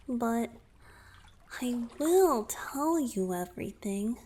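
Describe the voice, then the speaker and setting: An affectionate young merman boyfriend, played by a woman, speaks very slowly and intimately to the listener in a romantic ASMR roleplay. The voice is breathy, tender and teasing, often dropping to a whisper, with noticeable background noise behind it. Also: mid-range voice, darkish tone. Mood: playful, teasing.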